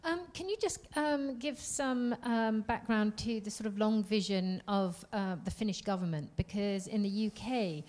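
A woman's voice: medium pitch, a little slow, few pauses, a little mellow. A woman in the audience asks a question in a conference room, hesitant and full of fillers. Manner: hesitant, many "um"s. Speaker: audience member asking question.

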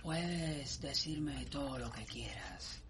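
An actor playing a worried older father in a radio drama, measured and doubtful. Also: medium pitch, lively intonation, over loud music.

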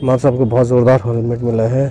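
A man's voice: medium pitch, little pitch movement, quick pace, mellow. A young man from India, chatting casually while riding a motorcycle and thinking aloud about the road. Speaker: motovlogger. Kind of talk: casual ride commentary. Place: outdoors on a moving motorcycle on highways and town roads. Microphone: helmet or body-mounted action camera. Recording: road and traffic noise, outdoors.

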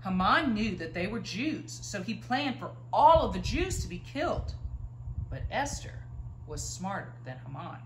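A woman's voice: medium pitch, sing-song, a little slow. A woman in her thirties reads a children's Bible storybook aloud expressively, leaning on key words, recorded indoors a few feet from the camera. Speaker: story reader. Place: living room, indoors, by a stone fireplace. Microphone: camera a few feet away. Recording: roomy echo, faint room noise.

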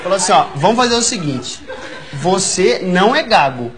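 A Brazilian man in his thirties with a stutter, telling a comic anecdote haltingly and repeating words as a talk show guest. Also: high voice, very animated. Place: large TV studio with audience. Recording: lo-fi TV studio tape, loud audience noise. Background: loud audience laughter.

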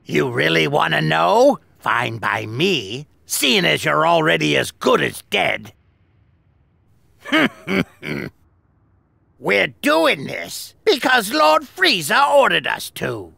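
A man's voice: on the high side, sing-song, takes it slowly, vocal fry, sharp tone, dry recording, full character voice. An actor playing a cruel alien henchman in an anime game, mocking and gloating as he threatens. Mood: mocking menace. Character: cruel, taunting male alien henchman of a tyrant. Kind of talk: dubbed anime game acting.

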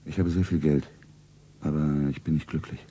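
Very deep, elegant lovestruck gentleman. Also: steady tone, moderate pace, husky. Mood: courting, wistful. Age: 40s. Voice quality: full, soothing. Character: elegant, lovestruck rich gentleman of about forty. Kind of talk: radio play acting, romantic monologue.

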